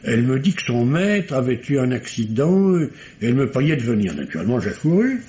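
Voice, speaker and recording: An actor playing a solemn, wordy male doctor in a vintage radio mystery, with pompous, deliberate, literary delivery. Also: mid-range voice, big pitch swings, dark tone, close to an everyday voice. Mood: grave, precise. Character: formal, verbose, solemn male country doctor. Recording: dull, noisy old radio recording.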